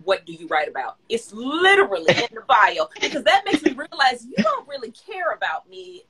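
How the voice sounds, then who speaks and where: A woman's voice: a little high, huge pitch swings, a bit bright, husky, poor audio. A woman in her thirties co-hosting a casual talk show, speaking emphatically about her own views. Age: 30s. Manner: emphatic, fast-talking storyteller. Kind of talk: casual chat.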